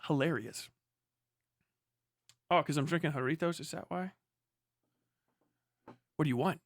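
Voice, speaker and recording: A man's voice: a little high, a bit bright, no echo, clean studio sound. A man hosting a casual livestream, giving blunt, profane, slangy political commentary and talking back to chat. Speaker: livestream host.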